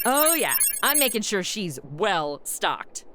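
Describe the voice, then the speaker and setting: A woman's voice: medium pitch, big pitch swings, slow pace, heavy background noise. A woman playing a cheeky, scheming modern young influencer with breezy slang in a radio comedy. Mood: breezy, sly.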